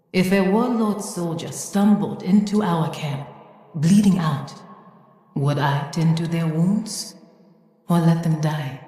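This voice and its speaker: An actress playing a wise, gentle woman reminiscing in a video game, measured and earnest, like a storyteller. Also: sits low. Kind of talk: reflective video game monologue. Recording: very echoey, long reverb.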